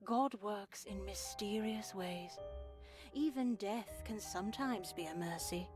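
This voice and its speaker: A woman voicing a stern, pious head of a religious house in a visual novel, measured, formal and coldly polite. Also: mid-range voice, over music, lightly acted. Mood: solemn, measured. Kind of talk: visual novel character acting.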